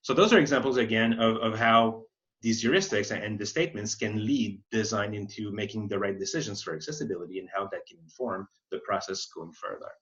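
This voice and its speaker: A man's voice: on the low side, a bit bright. A man explains material as an expert webinar presenter, feeling his way through sentences and often rewording them. His voice comes through a slightly muffled, lo-fi online connection. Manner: halting, rephrases mid-sentence. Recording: slightly dull, lo-fi webinar audio.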